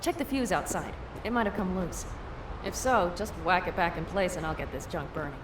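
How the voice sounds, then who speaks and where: Actress as sardonic young female mercenary, very lively pitch, blunt game dialogue, loud ambient rumble behind. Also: fairly quick, roomy sound, natural voice. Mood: wry, practical. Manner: blunt, wry, emphatic. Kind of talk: video game cutscene acting.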